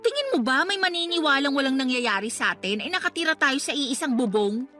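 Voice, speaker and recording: A woman's voice: sits high, lively intonation, fairly quick, bright, forceful tone, soft background music. An actress playing a brash, teasing and irritable young girlfriend in a Filipino radio drama, with a taunting, confrontational manner. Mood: annoyed, taunting.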